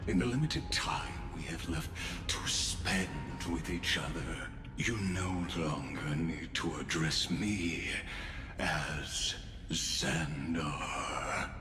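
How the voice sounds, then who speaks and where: An actor playing a calm, theatrical scheming scholar who speaks in a slow, measured, whispery way. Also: male voice, very deep voice, roomy sound. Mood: grand, theatrical. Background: game music.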